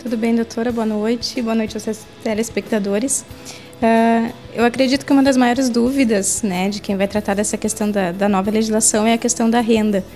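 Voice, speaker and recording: A woman's voice: on the high side, steady tone, fairly quick, warm tone, soft background music. A woman with a Brazilian accent, a social security coordinator, politely greeting and answering in a TV interview. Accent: Brazilian Portuguese. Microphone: handheld mic.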